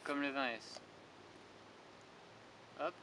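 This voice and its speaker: A man's voice: medium pitch, very slow, bright, forceful tone. A man giving an informal motorcycling tutorial outdoors, speaking colloquially and poking fun at himself. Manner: self-deprecating, joking, colloquial. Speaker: motorcycling vlogger presenting a tutorial. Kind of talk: informal spoken tutorial with casual banter. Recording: noisy outdoor recording with vehicle sounds.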